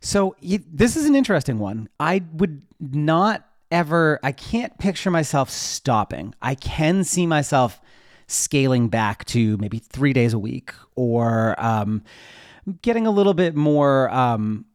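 A man's voice: mid-range voice, very animated, unhurried, no echo, studio quality. A man giving casual interview answers in an informal, rambling way, often interrupting himself. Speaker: interview guest, web developer and newsletter writer.

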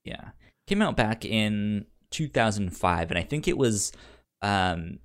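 A man's voice: on the low side, animated, slow. A man co-hosting a podcast in casual film discussion, stammering and repeating syllables and words as he talks. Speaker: podcast co-host.

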